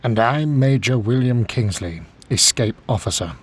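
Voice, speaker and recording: An actor playing an affable, understated British major in a video game, clipped and gentlemanly. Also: male voice, medium pitch, expressive, normal speed, husky. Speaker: escape officer. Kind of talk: video game cutscene acting.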